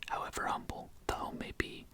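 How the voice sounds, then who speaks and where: A man hosting an ASMR video in a soft, unhurried whisper. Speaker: host of an ASMR video channel.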